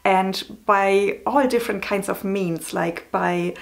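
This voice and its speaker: A woman in her thirties speaks casually to her viewers in English, her German accent plain throughout. She has a touch of vocal fry at the ends of phrases and a chatty, self-deprecating way of talking, with ums scattered between thoughts. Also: medium pitch, slow pace. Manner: chatty, apologetic, many ums and small laughs. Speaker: vlogger. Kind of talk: casual talk to camera.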